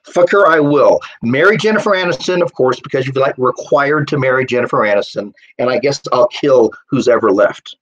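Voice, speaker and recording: A man's voice: mid-range voice, a little fast, few pauses, muffled recording, lightly acted. An offhand young man read by the story's author, a man banters with his lover. He sounds casual and flippant, a joker in a short story. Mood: flippant, bantering.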